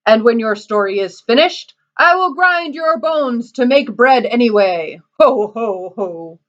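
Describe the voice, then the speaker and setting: A woman voicing a huge, slow-witted male giant who talks in a slow, heavy, plodding way. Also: fairly high pitch, expressive, dull audio. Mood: menacing.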